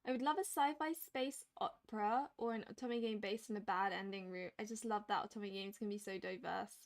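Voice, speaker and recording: A woman in her late twenties chatting casually on a stream, animated and laughing often. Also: medium pitch, a little fast. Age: 20s. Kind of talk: casual chat.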